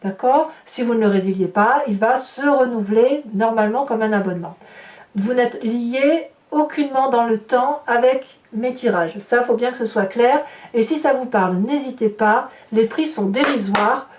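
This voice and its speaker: A woman giving a tarot reading to her viewers, speaking slowly and emphatically in a reassuring, direct way. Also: on the high side, a little mellow, distant mic. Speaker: tarot reader. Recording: roomy, from off-mic.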